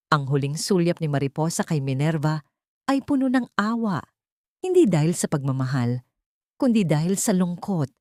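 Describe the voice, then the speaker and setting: Filipino woman reading a drama audiobook, lowish voice, solemn and measured, really dry close sound. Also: darkish tone, no echo. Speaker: audiobook narrator. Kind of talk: dramatic scripted story narration.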